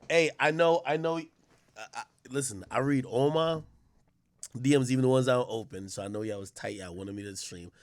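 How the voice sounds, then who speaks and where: A man hosting his own live stream, talking casually and with a lot of swearing as he addresses his chat directly. His voice sits at an ordinary pitch. The sound is close and dry, with no room around it. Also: a little mellow, no echo. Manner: loose, profane, talks straight to chat.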